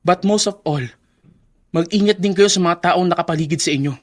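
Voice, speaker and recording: High-voiced, self-assured young son. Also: male voice, brisk. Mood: cautioning. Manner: mixes English phrases into his speech, advising. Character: congressman's young adult son, cool, cautioning, self-assured. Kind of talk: Filipino radio drama acting.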